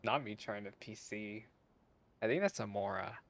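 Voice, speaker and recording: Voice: medium pitch, moderate pace. Amused game streamer, casual reaction commentary. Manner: amused, giggly. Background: faint game music.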